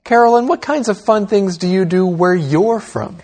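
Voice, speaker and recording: Brief: male English-lesson host, grew up in Taiwan, slow and clear for learners. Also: sits high, darkish tone.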